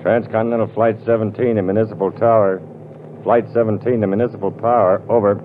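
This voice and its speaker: An actor playing a businesslike airline pilot in a 1940s radio drama. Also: male voice, fairly low pitch, little pitch movement, fast, lightly acted. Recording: tinny, noisy 1940s radio recording.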